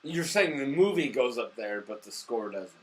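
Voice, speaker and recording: A man's voice: mid-range voice, very animated, moderate pace. A drunk man co-hosting a casual, profane movie review, rambling and easily losing the thread. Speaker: movie review show host.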